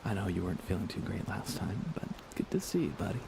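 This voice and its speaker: A man speaking softly and gently in an unhurried ASMR style while chatting on a live gaming stream, his voice hushed and at times close to a whisper. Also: low voice, big pitch swings, gravelly, very noisy. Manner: gentle, unhurried, thinking aloud. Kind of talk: soft-spoken ASMR gaming stream chat.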